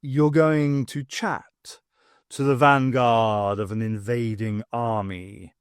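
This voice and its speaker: A man voicing a serious, sceptical male cat companion, dry and measured. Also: mid-range voice, steady tone, clean tone, dry recording, close to an everyday voice.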